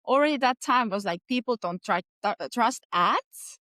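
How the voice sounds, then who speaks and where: A woman's voice: on the high side, expressive, moderate pace, bright, forceful tone, no room sound. Estonian-accented woman, dry and close. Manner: frequent hesitations and restarts, earnest. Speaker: podcast guest. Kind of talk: interview answers.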